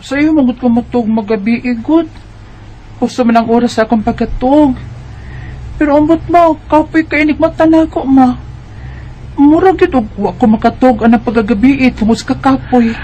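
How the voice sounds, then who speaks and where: A woman's voice: sits high, moderate pace, warm tone, a little hiss or hum, natural voice, rough recording. A woman with a Cebuano Bisaya accent playing a tired, worried teenage schoolgirl who complains and questions in a radio drama. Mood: weary, whiny. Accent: Cebuano Bisaya Filipino.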